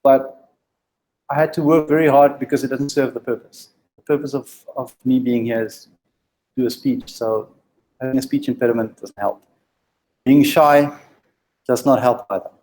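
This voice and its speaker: A man speaks to a meetup crowd in a mellow, warm tone. His delivery halts and restarts, with a stutter and plenty of ums. He urges his listeners plainly and directly. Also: medium pitch, fairly even intonation, low-quality recording. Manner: halting, with frequent ums and restarts; direct, exhorting. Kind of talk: informal presentation to a live group.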